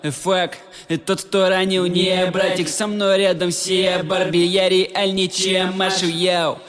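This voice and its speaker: A man's voice: high voice, flat delivery, slow pace, fairly bright tone, faint music behind. A man in his twenties rapping a boastful verse, peppered with "yo" and "bro" ad-libs. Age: 20s. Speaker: rapper. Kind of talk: rap song.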